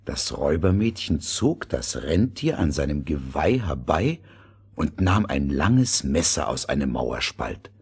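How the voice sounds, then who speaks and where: A man's voice: rumbling low, big pitch swings, unhurried, gravelly, breathy edge. A man narrating a scripted fairy tale as the storyteller of an audio play.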